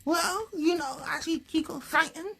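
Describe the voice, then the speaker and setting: Man voicing a very high, sassy girl puppet. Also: a little slow, gravelly, heavily acted. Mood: chatty. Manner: cheeky, deadpan comebacks. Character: sassy young girl puppet, Cindy Hot Chocolate. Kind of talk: puppet show banter.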